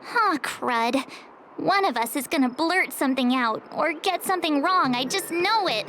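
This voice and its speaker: Very high, bright teenage girl. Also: expressive, normal speed, slight background noise. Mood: worried. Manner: informal, impulsive. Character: perky, blunt, scatterbrained teenage girl adventurer. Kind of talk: scripted video game dialogue.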